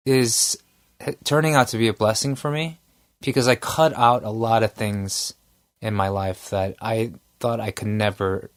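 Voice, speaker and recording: A man in his forties co-hosting a podcast, chatting casually with a relaxed, joking manner. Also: on the low side, measured pace. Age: 40s. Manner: relaxed, self-deprecating, joking. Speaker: podcast co-host. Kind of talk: casual chat.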